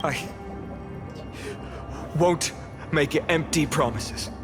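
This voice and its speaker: A resolute young lord in a fantasy game. His voice sits a little high and he speaks slowly, formally and gravely, with clear music behind him. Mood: resolute, reassuring. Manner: measured, formal, earnest. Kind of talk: English video game voice acting.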